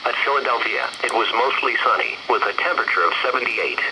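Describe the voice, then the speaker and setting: Automated male weather radio announcer, mid-pitched, flat measured bulletin read, very noisy broadcast. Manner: flat, measured synthesized reading in short phrases.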